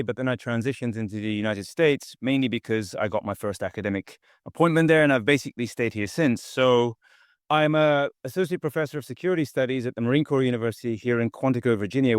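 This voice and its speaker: A man's voice: mid-range voice, clear, resonant tone, dry recording, studio quality. A man in his forties, born in Turkey and raised in the UK, giving conversational expert analysis as an academic guest. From born in Turkey, grew up in the UK. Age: 40s. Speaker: expert guest, associate professor of security studies.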